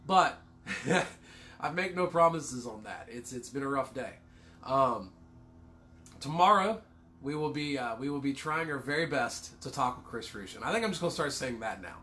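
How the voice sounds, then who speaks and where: A man's voice: mid-range voice, lively intonation, moderate pace, bright, forceful tone. A man with a cold, casually co-hosting a livestream, rambling, swearing and cracking self-deprecating jokes. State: congested with a cold. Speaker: livestream co-host.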